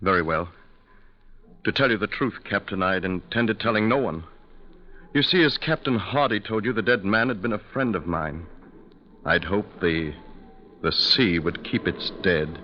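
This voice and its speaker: An actor playing a polite, emotional gentleman with an earnest, formal way of speaking in a 1940s radio drama. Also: sits low, unhurried, a little hiss or hum. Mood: somber, narrating. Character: polite, emotional gentleman passenger recounting a tragedy. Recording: dull vintage radio recording, crowd bustle.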